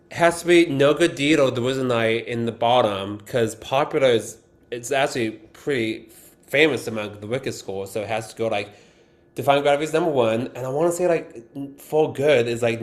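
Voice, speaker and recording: Man chatting eagerly as a podcast guest. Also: mid-range voice. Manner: thinks aloud, eager, musical fan. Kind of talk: casual chat.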